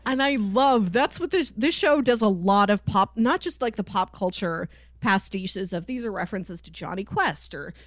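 A woman co-hosting a podcast in casual chat, telling stories in an animated way and acting out quoted dialogue. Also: mid-range voice, normal speed, few pauses, no echo. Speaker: podcast co-host. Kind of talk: casual chat about a TV cartoon.

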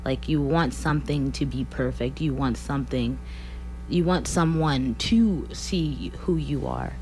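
A woman's voice: extremely low pitch, expressive, measured pace, mellow, soft background music, poor audio. A woman in her twenties, a singer-songwriter from Missouri, talking earnestly in a radio interview and laughing often. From Columbia, Missouri. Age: 20s. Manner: earnest, laughs often, many likes and you-knows.

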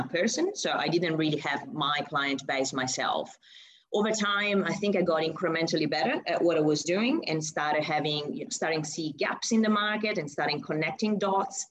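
A young woman from Italy speaks English with a clear Italian accent. Her voice sits low for a woman, and she answers in a chatty, conversational way, restarting phrases and filling pauses as she thinks. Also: rough recording. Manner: chatty, with frequent fillers and restarts. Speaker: guest, recruitment consultant. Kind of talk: casual interview answers.

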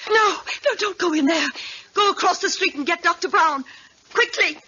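An actress playing a cold, oddly composed, insistent daughter in a murder case, in 1950s radio drama style with clipped delivery. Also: female voice, very high voice, moderate pace, bright, forceful tone. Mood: frantic alarm. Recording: dull lo-fi 1950s radio recording.

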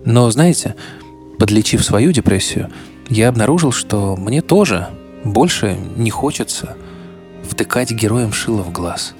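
Male podcast host, lowish voice, scripted narration, soft guitar music underneath. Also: animated, measured pace.

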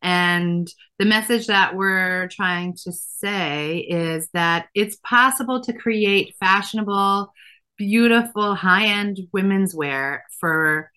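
A woman, a fashion designer answering interview questions thoughtfully with many pauses. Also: medium pitch, pushed and bright, smooth. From Israel. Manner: thoughtful, many fillers and restarts.

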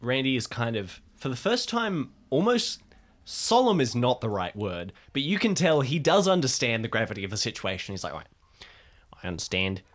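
A man casually improvising narration and character dialogue for a tabletop game, with halting phrasing. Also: mid-range voice, big pitch swings, a bit bright. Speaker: tabletop role-play participant.

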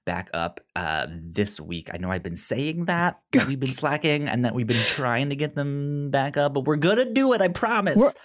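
A man's voice: medium pitch, animated, normal speed, few pauses. A man hosting a podcast in a casual, conversational way, often stammering and repeating syllables as he goes. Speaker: podcast host.

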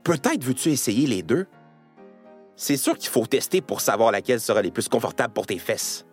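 A man's voice: mid-range voice, sing-song, moderate pace, soft background music. A man narrating a scripted educational piece for children, playfully addressing the listener.

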